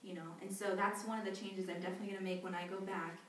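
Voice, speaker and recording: A woman's voice: mid-range voice, very fast. Chatty young woman in an echoey hall. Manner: chatty, animated, laughs often, many "you know" and "like" fillers. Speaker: interviewee, volunteer back from abroad. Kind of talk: conversational interview answers. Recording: echoey hall, distant mic, faint noise.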